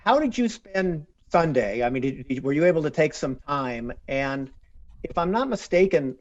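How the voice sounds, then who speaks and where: A man's voice: on the high side, animated, normal speed. Man with a rambling question, muffled sound. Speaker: reporter. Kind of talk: press conference question.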